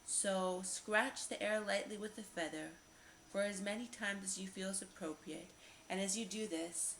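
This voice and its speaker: A woman narrating a guided meditation visualization in a slow, repetitive, soothing manner with lingering pauses. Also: medium pitch, a bit bright. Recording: roomy echo, faint background noise.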